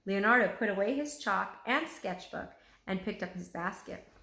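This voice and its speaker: A woman narrates a children's story expressively. Her voice is bright, with plenty of movement in pitch. The sound is roomy and echoing. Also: expressive, normal speed, vocal fry. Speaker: teacher reading aloud.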